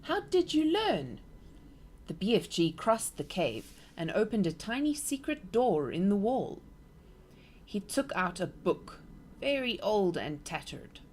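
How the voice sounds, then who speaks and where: Woman reading a bedtime story to her nieces, very lively up and down voice. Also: medium pitch, measured pace, slight background noise. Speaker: aunt reading a book aloud. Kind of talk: storybook read-aloud.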